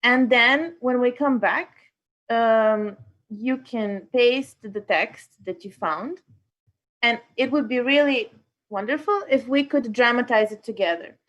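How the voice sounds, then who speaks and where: A woman with a fairly high voice leads a workshop. She presents informally and hesitantly, often searching for her next words. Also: slow, fairly bright tone, highs cut off. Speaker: workshop host and artist.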